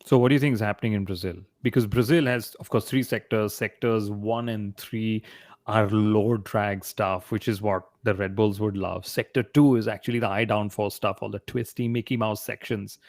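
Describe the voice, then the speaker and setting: Indian guy hosting a sports podcast, bit of creak in the voice, close dry sound, asks questions. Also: on the low side, steady tone, moderate pace, a little mellow, dry recording. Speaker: podcast host. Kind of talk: casual motorsport podcast discussion.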